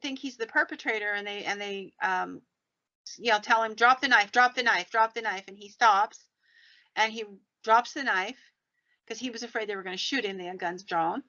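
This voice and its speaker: A woman's voice: mid-range voice, normal speed, sharp tone. A woman podcast host telling paranormal stories in a conversational, folksy way with rambling asides. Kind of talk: conversational storytelling about the paranormal. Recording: dull, lo-fi sound.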